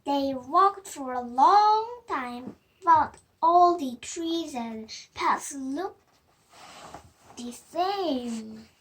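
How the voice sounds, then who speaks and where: High-pitched young girl reading slowly. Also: expressive, fairly bright tone. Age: child, about 7. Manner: halting, deliberate reading with stumbles. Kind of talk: reading a children's storybook aloud.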